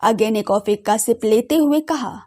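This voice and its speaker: A woman's voice: fairly high pitch, moderate pace, warm tone. A woman narrating a scripted audio drama story as a radio storyteller.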